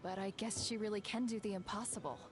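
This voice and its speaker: A woman's voice: medium pitch, steady tone, quick pace, background noise, lightly acted. A woman playing a reserved, thoughtful young tribeswoman in an anime game. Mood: quietly impressed.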